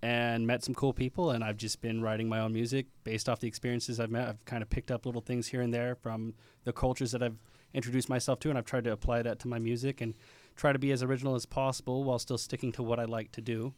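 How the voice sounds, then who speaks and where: A man's voice: mid-range voice, little pitch movement, fast, darkish tone, no room sound. A man, a musician guest co-host, chatting in an easygoing way on a radio show. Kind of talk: casual radio chat. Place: radio studio.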